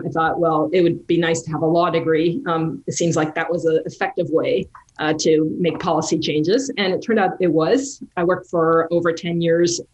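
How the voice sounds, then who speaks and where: Woman, guest, low voice, thoughtful, filler-heavy casual talk. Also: low-quality recording. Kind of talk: casual interview conversation.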